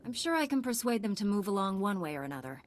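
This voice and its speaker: An actress playing a composed, confident young Jedi knight in a video game, speaking with assurance. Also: female voice, medium pitch, big pitch swings, quick pace, lightly acted. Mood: composed confidence. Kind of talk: video game dialogue acting.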